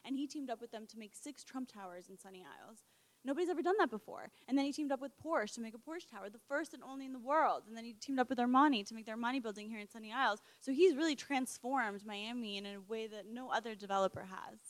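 A young woman from Colombia, a real estate broker, pitching her project with eager sales enthusiasm. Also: fast talker, no room sound. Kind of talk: interview guest, sales pitch.

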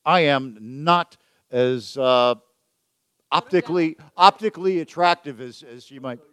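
Joking radio host, dry close sound. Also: male voice, mid-range voice, unhurried, fairly bright tone, smooth, no echo. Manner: jokey, self-deprecating, chatty. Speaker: radio talk show host. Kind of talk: casual chat. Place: event venue with photographers.

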